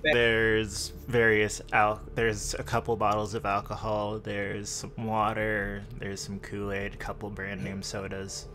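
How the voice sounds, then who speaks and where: A man improvising second-person narration as the game master of a tabletop roleplaying game, with deliberate, dramatic phrasing. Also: on the low side, monotone, pushed and bright, slight background noise.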